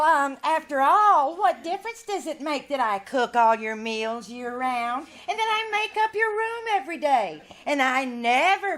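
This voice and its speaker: A woman's voice: sits high, fairly bright tone, exaggerated voice. A woman playing a fussy, guilt-tripping older landlady in a live radio comedy, sweetly martyred and wheedling. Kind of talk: live old-time radio comedy acting.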